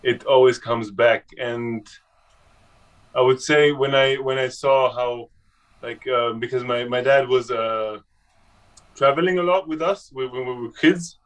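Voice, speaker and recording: Halting young man chatting casually. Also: mid-range voice, steady tone, recorded from a distance. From Switzerland. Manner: halting, with many restarts and fillers. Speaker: guest. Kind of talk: casual conversation.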